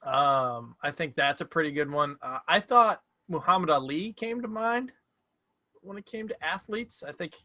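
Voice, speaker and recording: Bright, excitable young man on a podcast. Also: a little high, moderate pace, no room sound. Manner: excitable, loudly argumentative banter. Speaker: podcast co-host. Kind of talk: casual sports podcast chat.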